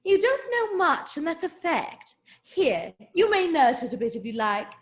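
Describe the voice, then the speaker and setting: A woman's voice: sits high, very animated. A woman playing a bad-tempered, domineering Duchess in a dramatic reading of a children's classic, drawing out her words with exaggerated imperiousness. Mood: curt, dismissive. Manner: drawn-out, exaggerated, imperious delivery.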